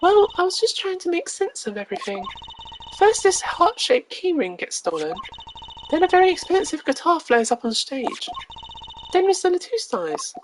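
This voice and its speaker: Woman putting on a high, excitable young girl sidekick. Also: moderate pace, noisy background, heavily acted. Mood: pensive, earnest. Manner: squeaky, exclaiming, theatrical.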